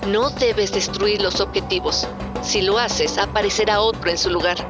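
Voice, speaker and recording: A woman's voice: medium pitch, fairly even intonation, moderate pace, loud music behind the voice, lightly acted. A woman with a Latin American Spanish accent playing a matter-of-fact female android operator in a game dub. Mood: businesslike. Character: brisk female android operator giving mission orders.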